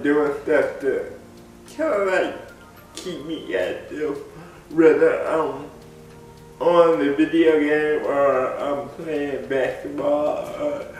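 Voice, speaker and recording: A young man vlogging casually to camera from his living room, congested, halting and laughing often. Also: on the high side. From United States. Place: living room with big windows. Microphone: camera across the room. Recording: echoey room, voice from far off.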